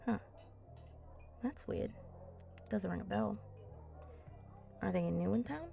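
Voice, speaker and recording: A woman voicing a flirty, teasing female vampire in an ASMR roleplay, with a breathy voice that often drops to a whisper. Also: medium pitch, lively intonation, warm tone, faint music behind, full character voice. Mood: casual curiosity. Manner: slow, teasing, coaxing.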